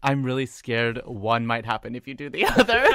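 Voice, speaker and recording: A man's voice: medium pitch, very animated, unhurried, sharp tone, dry recording, clean studio sound. Nasal guy goofing around on a comedy podcast, rambly, laughing a lot. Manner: jokey, rambling, laughs often. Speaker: podcast co-host. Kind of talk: comedic banter.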